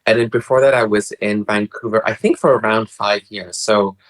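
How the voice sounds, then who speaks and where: A polite young man from Brunei with a Canadian-tinged English accent, chatting as a podcast guest over a remote call, soft-spoken and hesitant with frequent restarts. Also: lowish voice, steady tone, moderate pace. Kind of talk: casual chat.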